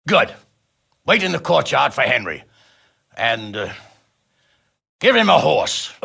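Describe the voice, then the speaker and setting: An actor voicing an irascible, commanding lord in a medieval video game, raspy and imperious. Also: male voice, medium pitch, sing-song, slow, pushed and bright, full character voice. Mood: brisk, commanding. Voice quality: raspy, gravelly. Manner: blustering, imperious.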